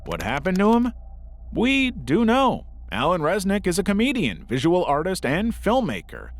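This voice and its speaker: A man's voice: sits high, huge pitch swings, normal speed. A man narrating a scripted documentary-style video essay as its narrator.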